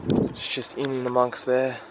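A man's voice: medium pitch, monotone, moderate pace, noisy background. A young man filming himself outdoors while exploring an abandoned building, chatting casually and wondering aloud with plenty of fillers. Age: young adult. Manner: curious, rambling with fillers. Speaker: vlogger. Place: outdoors in overgrown abandoned site.

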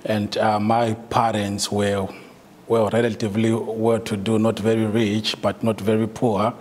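A man's voice: fairly low pitch, level tone, a little slow, a little mellow. Hesitant Zimbabwean man in a reverberant room. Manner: hesitant, many ums and uhs, light laughter. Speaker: guest speaker, solicitor. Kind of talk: informal talk to staff. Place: meeting room, indoors.

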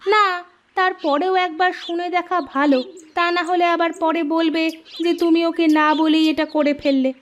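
A woman voicing a sharp-tongued village housewife who scolds her scheming husband. She talks in a scolding, sarcastic way in a cartoon. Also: very high-pitched, fairly even intonation, moderate pace, highs cut off. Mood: cautioning. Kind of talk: cartoon voice acting.

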